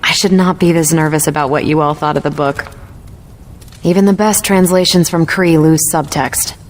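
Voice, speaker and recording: A woman's voice: low-pitched, fairly even intonation, moderate pace, slight background noise, close to an everyday voice. A woman playing a slightly nervous, self-conscious superhero in a video game. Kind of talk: video game cutscene acting.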